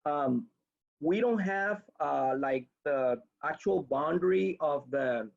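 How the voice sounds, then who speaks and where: A man, a webinar instructor answering audience questions in a halting way, with many restarts. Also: fairly high pitch, lively intonation, vocal fry, lo-fi sound. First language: Spanish.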